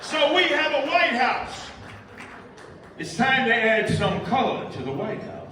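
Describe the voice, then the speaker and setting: A man's voice: extremely high pitch, very animated, a little slow, a crowd in the background, background noise. A man giving an impassioned rally speech, emphatic and repetitive, declaiming his points. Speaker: rally speaker and radio host. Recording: echoey public address from far off.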